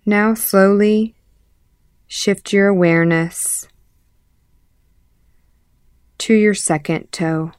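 A woman leading a guided meditation as an instructor, calmly and in short, measured phrases with pauses. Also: medium pitch, smooth, dry recording, clean studio sound. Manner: calm, measured, pausing between short phrases. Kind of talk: guided body scan meditation.

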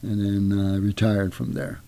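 An older man, a retired army veteran, recalling his past in a halting way with many pauses. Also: on the low side, completely flat delivery, vocal fry, warm tone, dry recording. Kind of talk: casual recollection.

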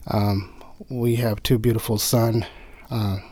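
Guest spot: man, low pitch, hesitant delivery, dry close sound. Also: level tone, dark tone, no room sound. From Nepal. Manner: halting, frequent restarts and fillers. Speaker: interview guest, government engineer. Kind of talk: conversational interview.

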